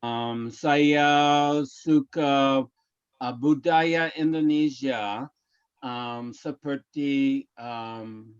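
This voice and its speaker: A man's voice: medium pitch, extremely slow, clean tone, muffled recording. A man from the United States, a native English speaker, asking a question in hesitant learner Indonesian with many ums and uhs.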